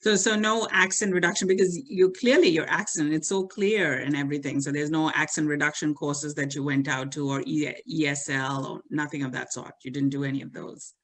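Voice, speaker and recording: Male host, interviewer; rambling questions, stops and restarts often, voice a bit high. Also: steady tone, fairly quick, few pauses, a bit bright.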